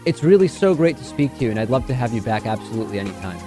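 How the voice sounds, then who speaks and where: A man's voice: mid-range voice, very animated, racing, music in the background. A man born in Argentina whose first language is Spanish, hosting a talk show and asking direct, conversational questions. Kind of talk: interviewing and reading listener questions.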